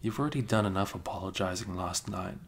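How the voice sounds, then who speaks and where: Low gravelly guy whispering close to the mic, soft-spoken best friend asmr vibe. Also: quick pace, a little hiss or hum. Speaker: ASMR roleplay creator. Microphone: close microphone.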